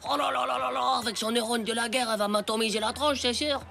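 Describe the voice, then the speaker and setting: An actor playing a cocky, excitable ten-year-old schoolboy in a French cartoon, talking in boastful, slangy exclamations. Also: medium pitch, fast talker, creaky, heavy background noise, lightly acted. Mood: panicked.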